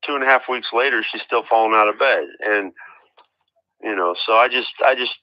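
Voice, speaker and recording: A dull, dry telephone recording of an American man with a fairly low voice. He speaks haltingly as a caller making a complaint, exasperated and circling back over his words. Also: no echo. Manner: halting, exasperated, repeating himself. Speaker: caller, relative of a patient. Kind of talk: phone conversation, complaint.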